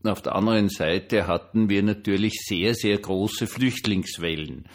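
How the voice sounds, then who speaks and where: A man's voice: on the low side, unhurried. An older man with a Viennese Austrian accent, speaking as a pastor in an informal, hesitant but emphatic personal monologue. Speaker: pastor and online diary host.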